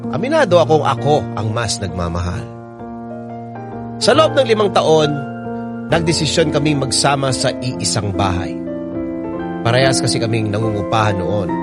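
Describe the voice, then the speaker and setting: A man's voice: mid-range voice, lightly acted. A man with a Filipino accent playing a devoted young man, narrating a love story earnestly in an audio drama over music. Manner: sentimental, earnest. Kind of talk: scripted first-person narration in audio drama. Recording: loud background music, guitar.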